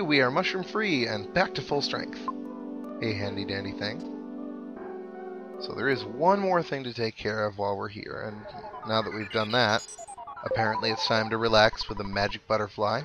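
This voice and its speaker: A man giving casual commentary over a video game playthrough, thinking aloud with mild exclamations. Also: on the low side. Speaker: gaming video host. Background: video game music.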